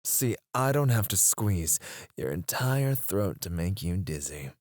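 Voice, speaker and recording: A man playing a menacing, teasing kidnapper in an ASMR roleplay, drawling his taunts and dropping into hushed murmurs close to the microphone. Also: low-pitched, sing-song, a little slow, dry recording. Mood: sultry, threatening. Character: menacing, teasing male kidnapper interrogating a captive. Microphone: close studio microphone.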